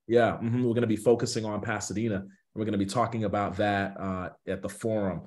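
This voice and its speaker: Casting: American male pastor, thirties, lowish voice, earnest extemporaneous preaching cadence, emphatic repetition. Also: fairly even intonation, fast talker, a little mellow. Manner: emphatic, repeats phrases for weight. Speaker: pastor, guest speaker. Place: small indoor room on a video call.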